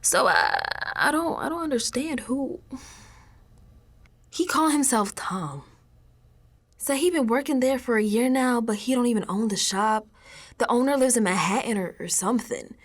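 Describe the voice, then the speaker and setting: Actress doing young american woman in an audio drama, streetwise n uneasy, slangy confiding talk, highish voice, really up and down. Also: expressive, normal speed, long pauses. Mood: puzzled, insistent. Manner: colloquial, sassy, emphatic, dropping into whispers.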